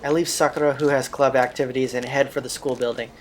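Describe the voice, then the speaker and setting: A man's voice: mid-range voice, steady tone, quick pace, slight background noise. A man hosting a let's-play, reading a visual novel's narration aloud. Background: game music.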